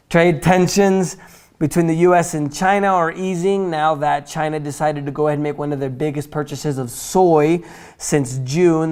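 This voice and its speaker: A man's voice: a little high, darkish tone, clear, resonant tone. A man hosting a financial news roundup, reading items from notes in a chatty, informal way, with casual asides and stumbles that he corrects as he goes.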